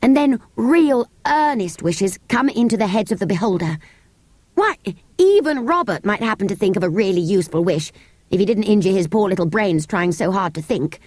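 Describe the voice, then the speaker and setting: A woman's voice: mid-range voice, animated, normal speed, a little mellow, no echo, natural voice. A woman voicing a pompous, bossy Edwardian schoolboy in a children's storybook, with an English Received Pronunciation accent and an oratorical manner. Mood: grand, bossy. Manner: oratorical, self-important. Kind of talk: children's storybook character reading.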